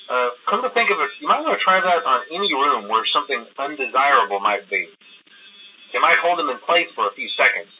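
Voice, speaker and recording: A man's voice: mid-range voice, big pitch swings, brisk, soft background music. An actor playing a chatty, nervously reassuring male employee in a video game, leaving casual, hesitant, rambling phone briefings for a new guard. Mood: hesitant, cautioning. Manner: hesitant, rambling, breezily downplaying trouble. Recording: tinny, narrow phone line.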